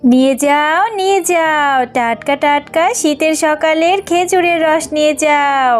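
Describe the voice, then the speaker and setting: A woman's voice: sits high, a little slow, faint music behind. A woman voicing a bossy, scheming female fox who sells juice in a cartoon, with a coaxing sing-song manner. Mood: hawking, sing-song. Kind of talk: cartoon voice acting.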